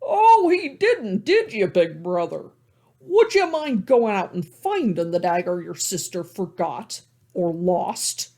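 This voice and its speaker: Male narrator as a blunt, prying animal skipper; high, breathy and bouncy. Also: sing-song, slow, darkish tone, heavily acted. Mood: needling. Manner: folksy, pointed questioning.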